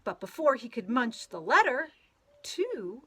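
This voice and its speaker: A woman reading a children's picture book aloud in an expressive storytelling manner, drawing out words and playing with the sound words. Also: on the high side, measured pace, breathy edge. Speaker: storybook read-aloud reader.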